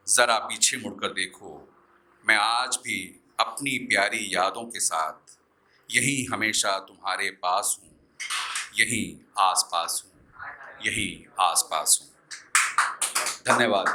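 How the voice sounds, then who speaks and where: A man performing as a poet at an open mic, reciting verse deliberately and repeating lines for effect, with spoken introductions. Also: medium pitch, bright, forceful tone. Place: live open mic venue.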